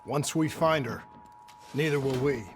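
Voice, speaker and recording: An actor playing a loyal, resolute squad leader in a video game, firm and clipped. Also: male voice, mid-range voice, measured pace, slight background noise, natural voice. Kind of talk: video game cutscene acting.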